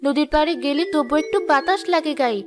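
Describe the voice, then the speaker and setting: A woman's voice: high voice, quick pace, natural voice. A woman playing a proud, naive and discontented young wife in a cartoon fairy tale, plaintive and petulant. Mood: sulky, plaintive. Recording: music behind the voice.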